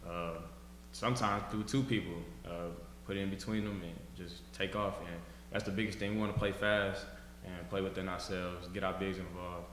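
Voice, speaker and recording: Young college player, low voice, echoey room. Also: male voice, moderate pace, slight background noise. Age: young adult. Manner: relaxed, plain short answers trailing off softly. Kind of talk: postgame press conference answers. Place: press room, seated at a table. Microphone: table microphone on a stand. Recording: echoey room with lingering reverb.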